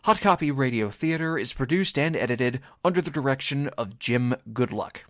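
Male announcer, mid-pitched, scripted radio-theatre sign-off, very dry recording. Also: expressive, moderate pace, a bit bright, dry recording.

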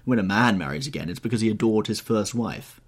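A man voicing an urbane, cynical and witty gentleman in an audiobook, with polished, epigrammatic delivery. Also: fairly low pitch, lively intonation, fairly quick, breathy edge. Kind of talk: audiobook character voice.

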